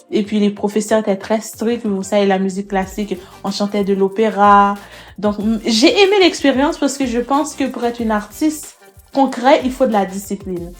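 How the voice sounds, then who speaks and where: A woman's voice: medium pitch, a little mellow. Woman singer, hesitant. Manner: hesitant, many fillers and restarts. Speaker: singer, interview guest. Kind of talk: casual interview answers.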